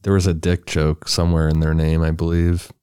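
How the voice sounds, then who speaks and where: A man co-hosting a podcast, reading a true crime story in a relaxed, loose way with casual asides. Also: rumbling low, brisk, clear, resonant tone, no room sound, clean studio sound. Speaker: podcast co-host.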